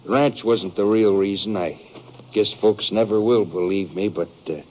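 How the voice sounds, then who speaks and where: An actor playing a bitter, brooding western outlaw in a 1950s radio drama, speaking in halting, rustic, confessional fashion. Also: male voice, fairly low pitch, a little hiss or hum, natural voice. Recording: dull 1950s radio recording.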